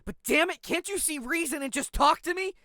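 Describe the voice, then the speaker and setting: Tense, high-voiced earnest hero played by a man. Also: a little fast, sharp tone, no room sound, studio quality. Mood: frustrated, resolute. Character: earnest male fighter pleading for calm, firm resolve. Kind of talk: audio drama character acting.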